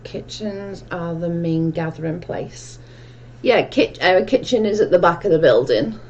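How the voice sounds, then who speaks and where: Slow-talking Welsh woman chatting. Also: lowish voice, a little mellow, highs cut off, slight background noise. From Wales. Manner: chatty, reads out comments and answers them at length. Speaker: livestream host. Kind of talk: casual chat answering viewer comments.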